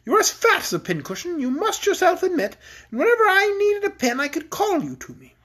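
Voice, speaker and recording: A woman's voice: very high voice, sing-song, fairly quick, a bit bright, voice pushed up, exaggerated voice. A woman voicing a cruel storybook queen, imperious, mocking and haughty. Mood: gloating, teasing. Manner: commanding, teasing, haughty. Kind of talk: children's storybook read aloud.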